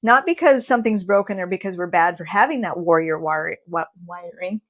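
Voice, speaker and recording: A woman's voice: lowish voice, fairly quick. A woman co-hosting a podcast, talking in a reflective, encouraging and reassuring way. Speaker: podcast co-host. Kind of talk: supportive, reflective conversation.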